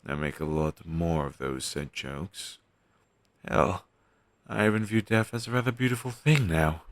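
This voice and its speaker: A man playing a pessimistic zombie best friend with dark sarcasm, speaking haltingly in an intimate audio roleplay. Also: low-pitched, dry recording. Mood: troubled, confessional. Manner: halting, sighing, sardonic delivery with trailing hesitations.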